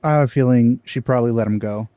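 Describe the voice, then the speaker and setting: A man's voice: medium pitch, fast, dark tone. A man hosting a let's play, reacting to a game with casual commentary on a close microphone.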